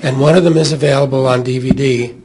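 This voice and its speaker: Middle-aged man, average pitch, panel moderator, informative remarks. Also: steady tone, fast talker. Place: indoor hotel conference room. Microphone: table microphone on stand.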